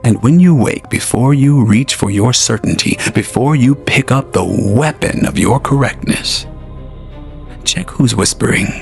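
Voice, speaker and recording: A man narrating a scripted audio drama in a slow, solemn, deliberate way. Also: medium pitch, animated, creaky. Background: faint music.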